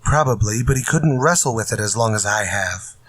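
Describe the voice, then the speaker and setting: An actor playing a frustrated, plain-spoken writer in a retro-style radio drama. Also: male voice, lowish voice, expressive, a little fast, fairly bright tone. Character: frustrated male writer stuck on his murder story.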